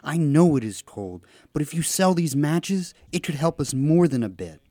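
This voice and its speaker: A man's voice: on the high side, sing-song, normal speed, dark tone, no echo. A man playing a poor, worried father in a radio play, coaxing and earnest.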